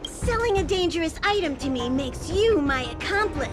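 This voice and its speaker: A cold, scheming gang boss speaks slowly and with mock politeness, her high voice swinging wide in pitch. It is game cutscene audio with clear music underneath. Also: animated. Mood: smug menace.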